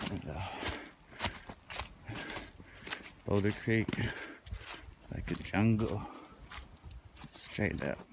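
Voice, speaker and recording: A man's voice: low-pitched, noisy background. A man casually rambling in slang as he hikes through the woods, filming on a handheld phone. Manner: laid-back, slangy, offhand. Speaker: vlogger. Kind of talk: rambling casual commentary while hiking. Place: wooded hillside outdoors. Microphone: handheld phone camera while walking.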